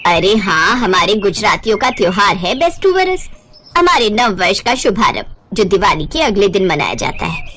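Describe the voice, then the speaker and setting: A woman's voice: medium pitch, big pitch swings, moderate pace, fairly bright tone, slight background noise. Woman voicing a kindly, chatty old auntie. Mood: reassuring. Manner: affectionate, reassuring, talkative. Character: kindly elderly Gujarati woman, chatty cooking teacher. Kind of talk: animated storybook character voice.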